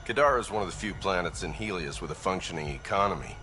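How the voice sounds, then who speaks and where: A man's voice: sits low, lively intonation, quick pace, bright, forceful tone. A man playing a wry, world-weary frontier port worker in a video game, laconic in manner. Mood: wry, casual. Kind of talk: video game cutscene acting.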